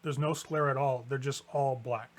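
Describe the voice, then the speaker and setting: A man's voice: medium pitch, steady tone, normal speed. A man narrating a tabletop horror game as game master, improvising in a casual, conversational way.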